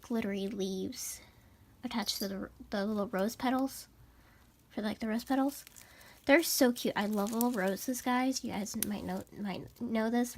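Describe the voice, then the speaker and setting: A young woman vlogger with a raspy, stuffed-up voice, chatting bubbly and casually through a shopping haul. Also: on the high side, fairly bright tone. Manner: bubbly and chatty, many fillers. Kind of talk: casual haul vlog chat.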